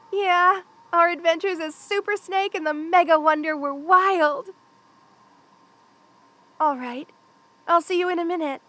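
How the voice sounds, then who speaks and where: A woman's voice: very high voice, measured pace, long pauses, no room sound, full character voice. A woman voicing a playful, affectionate young lamia best friend in a casual, teasing ASMR roleplay. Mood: cheerful, affectionate. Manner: casual, teasing, conversational with soft chuckles. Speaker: voice actor in audio roleplay. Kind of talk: ASMR roleplay, one-sided friendly chat.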